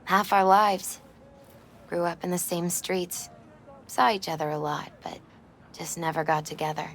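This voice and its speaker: A woman playing a melancholy girlfriend in her twenties, grieving in a video game scene with quiet, reflective, halting phrases. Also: fairly low pitch, little pitch movement, slight background noise. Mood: tender, nostalgic. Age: 20s. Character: wistful twenty-six-year-old grieving girlfriend, soft-hearted, melancholy. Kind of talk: cinematic video game acting.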